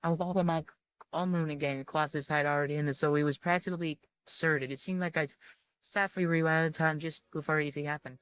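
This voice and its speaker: A woman reading visual novel narration aloud in an informal, halting way, often stumbling over her words. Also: deep voice, fairly even intonation, clean tone. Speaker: video game streamer reading aloud.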